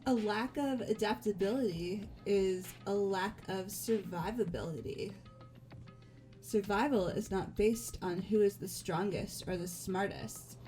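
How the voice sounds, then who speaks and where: Woman, calm composed delivery, even pitch, faint music behind. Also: a little slow. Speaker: player voicing a character. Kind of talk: fan voice acting of a game script. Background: faint game music.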